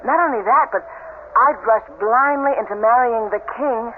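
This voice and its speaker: An actress playing a naive, uneasy young bride in a 1970s radio drama, speaking in an earnest, wistful, halting way. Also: female voice, fairly high pitch, natural voice. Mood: troubled, wondering. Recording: noisy, tinny old radio broadcast recording.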